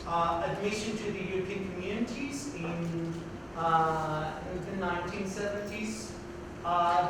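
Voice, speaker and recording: Male lecturer, high pitch, hesitant and loud, far-off mic, noisy reverberant hall. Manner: hesitant, many uhs and restarts; projects loudly for the room. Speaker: visiting university professor. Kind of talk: lecture to students. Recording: very echoey hall, distant, noisy.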